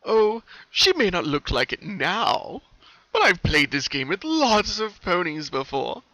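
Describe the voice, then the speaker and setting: A man voicing a crazed, soulless young male cult pony who taunts in a drawn-out, mock-cheerful and cruel way. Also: high voice, wildly swooping pitch, pushed and bright, no room sound, voice pushed up, full character voice. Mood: gleeful menace. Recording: dull, muffled home recording.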